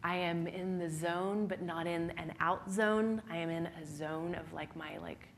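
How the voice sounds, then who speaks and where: A woman answering questions on a live panel, animated and self-described as hot-headed and fiery. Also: lowish voice, measured pace, slight background noise.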